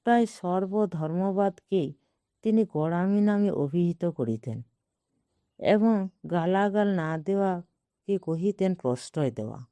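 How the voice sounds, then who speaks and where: A woman's voice: on the low side, animated, measured pace, mellow, clean tone, no room sound. A woman reading a literary short story as a scripted audiobook narration.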